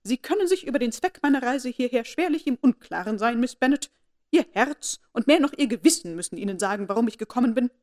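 A woman's voice: fairly high pitch, expressive, fast, darkish tone, voice pushed up, natural voice. A woman voicing a haughty, imperious elderly noblewoman who speaks in a commanding, formal and indignant way.

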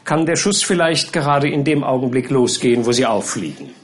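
A man voicing a tall, gruff gamekeeper in an audiobook, blunt and grumbling. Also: mid-range voice, lively intonation, a little fast, close to an everyday voice. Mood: matter-of-fact.

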